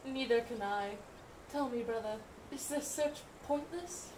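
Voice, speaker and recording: A woman voices an earnest, weary puppet father, high-pitched and unhurried. The homemade show is recorded at a distance in an echoey room. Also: lively intonation, darkish tone, slight background noise. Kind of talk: amateur puppet-show acting. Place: indoor room with puppet stage.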